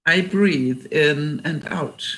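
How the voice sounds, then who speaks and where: An older woman with a Canadian accent and a hoarse, fading voice reads jokes aloud in a casual vlog and laughs often at them. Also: sits low, slow pace, rough recording. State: hoarse, losing her voice. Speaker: vlogger.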